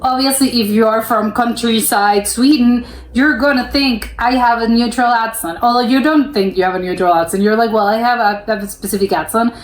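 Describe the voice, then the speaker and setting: A woman's voice: a little high, a little fast, few pauses, slight background noise. A young woman from the United States hosting a reaction video, rambling casually with lots of "like" fillers and restarts. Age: young adult. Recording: roomy echo.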